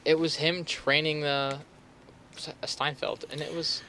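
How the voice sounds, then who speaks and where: A young man co-hosting a casual podcast chat, relaxed and hedging, swearing now and then. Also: on the high side, measured pace. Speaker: podcast co-host.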